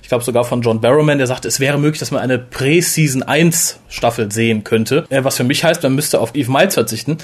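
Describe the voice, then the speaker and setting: A man's voice: mid-range voice, brisk, few pauses, breathy edge. A man hosting a casual fan podcast, chatting freely and giving his opinions. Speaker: podcast host.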